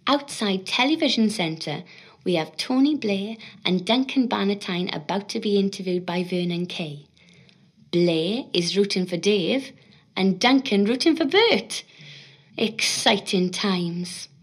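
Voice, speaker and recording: An actress playing an upbeat television presenter giving announcements in a husky voice. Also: female voice, mid-range voice, expressive, measured pace. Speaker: TV show presenter. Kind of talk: show announcement.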